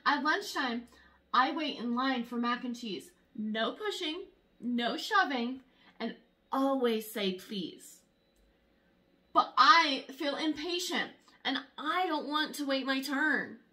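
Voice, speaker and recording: High, very animated woman reading to kids. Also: takes it slowly. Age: young adult. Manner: expressive, exaggerated storytelling for young children. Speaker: teacher reading aloud to children. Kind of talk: animated children's picture book read-aloud. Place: small room at home, indoors.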